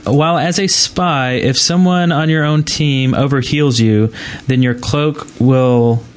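Male podcast host, medium pitch, casual gaming-show chat. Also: a little slow.

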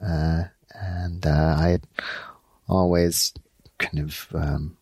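A man from Los Angeles who works as an animation director, answering interview questions in a halting way with many fillers. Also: low-pitched, little pitch movement, darkish tone, husky, dry recording. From Los Angeles, United States. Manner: halting, many ums and uhs.

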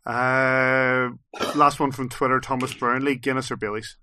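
A man co-hosting a podcast, briskly reading out listener questions. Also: mid-range voice, flat delivery, pushed and bright. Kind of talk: casual podcast chat.

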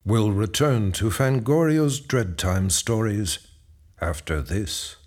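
Deep, slow-talking male announcer. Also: a little mellow. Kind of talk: slow scripted show announcement.

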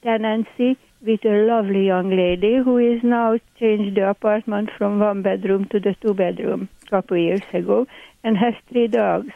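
A woman's voice: mid-range voice, fairly even intonation, normal speed, creaky, no room sound. Elderly Hungarian-accented woman on a phone line. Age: 80s. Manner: warm chatty storyteller with broken English. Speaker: landlord guest on a call-in. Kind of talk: casual radio interview.

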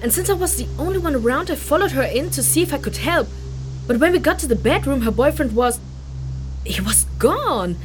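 An actress playing a chatty young woman, a refugee villager in a fantasy audio drama, speaking casually and haltingly with exclamations. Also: high-pitched, animated. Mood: shaken. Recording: music behind the voice.

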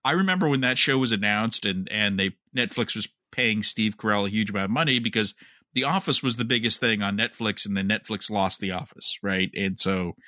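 A man with a fairly low but bright, forward voice co-hosts a casual podcast. He talks in a halting, rambling way, repeating words as he gathers his thought. The sound is dry, with very little room. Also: no echo. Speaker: podcast co-host. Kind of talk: casual chat about TV and fandom.

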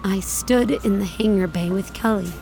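A woman playing an uneasy space colonist, narrating her journey in a measured, deliberate way for an audio drama. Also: medium pitch, vocal fry, noisy background, close to an everyday voice. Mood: wistful. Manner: measured, deliberate, brooding delivery. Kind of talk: scripted audio drama narration, first-person.

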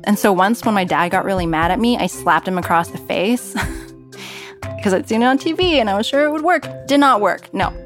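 A woman's voice: mid-range voice, moderate pace. Female storyteller, American accent, conversational personal essay, candid and wry, music bed underneath. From San Francisco Bay Area, California. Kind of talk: conversational personal narrative commentary.

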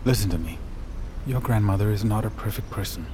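A man's voice: on the low side, a little fast, mellow, slight background noise, natural voice. A man playing an older father in an audio drama, a stern but caring and weary keeper of prisoners. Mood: concerned. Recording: faint ambience behind.

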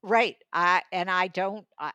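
Brisk, lower-pitched woman discussing books. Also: bright, forceful tone, clean tone, no echo. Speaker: audiobook reviewer and regular guest. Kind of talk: conversational book discussion.

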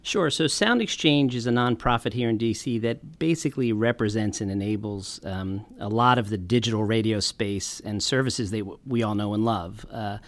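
A man's voice: lowish voice, normal speed, hardly any pauses, no room sound. A man who heads a nonprofit, speaking as an interview guest and explaining his organization in a friendly way. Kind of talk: interview answers.

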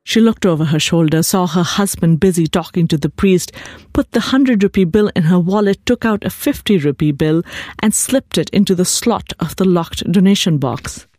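A woman's voice: lowish voice, moderate pace, darkish tone, dry recording, studio quality. A woman reading a literary short story aloud as its author, in a scripted narration style with composed music behind her. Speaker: author reading own fiction. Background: composed background music.

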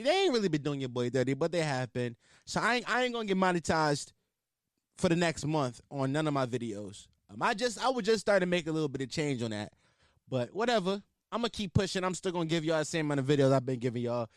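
A man's voice: fairly high pitch, dry recording, studio quality. A man with a Philadelphia accent hosting his own podcast in casual, rambling, profane chat full of filler words. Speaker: podcast host.

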